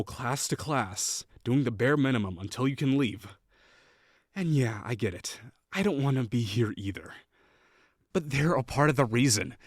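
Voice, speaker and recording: A man's voice: mid-range voice, no room sound, clean studio sound. A young man playing a haughty, sarcastic college rival who is secretly lonely, in a scripted audio roleplay. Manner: sarcastic, scornful, quick to bristle.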